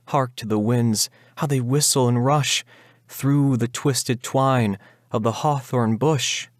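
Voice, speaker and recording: Young bride in mourning, played by a man: lifted pitch, yearning, plaintive, pleading, Gothic ballad style, unhurried. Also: fairly even intonation, dry recording, clean studio sound, lightly acted. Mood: tender, pleading. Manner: plaintive, pleading, archaic speech. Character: grieving young bride, yearning, anxious, tender. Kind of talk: dramatic Gothic ballad recitation.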